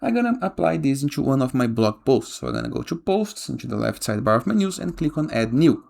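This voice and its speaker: Male tutorial host, casual step-by-step screen voice-over, noticeable vocal fry, mid-range pitch. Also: very animated, fast, a little mellow. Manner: frequently tags instructions with a questioning "okay?".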